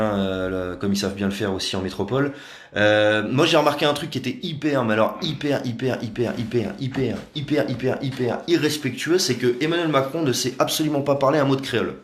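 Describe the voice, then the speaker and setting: A man's voice: lowish voice, roomy sound. A man talking casually and sarcastically on a live video from a room at home, with many hesitations. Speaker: activist livestreamer.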